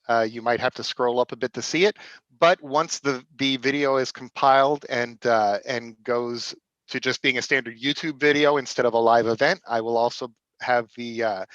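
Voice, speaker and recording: A man hosting a live-streamed theater reading, speaking casually and haltingly with many restarts. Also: mid-range voice, fairly bright tone, no room sound. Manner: halting, many restarts and fillers, laughs often. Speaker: host of a theater company's reading. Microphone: video call. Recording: dry, dull lo-fi video call audio.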